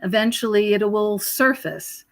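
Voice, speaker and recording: A mature woman with a mid-pitched voice talks as a guest in a conversational interview. She explains at length, pausing and circling back over her phrases. The sound is dry, with very little room in it. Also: lively intonation, no echo. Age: middle-aged or older. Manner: rambling, explanatory, with frequent pauses and repeated phrases. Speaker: podcast guest, researcher and author. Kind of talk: conversational spiritual commentary in an interview.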